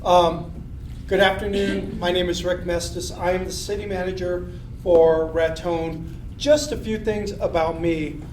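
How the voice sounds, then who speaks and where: A man in his fifties gives an earnest speech to a business gathering, leaning on repeated rallying phrases and frequent ums and you-knows. His voice sits a little high and sounds distant, caught from across an echoey room with a faint hiss underneath. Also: steady tone, measured pace, a little mellow. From southern Colorado. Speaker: city manager. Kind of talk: public speech to a business meeting. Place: meeting room, unamplified.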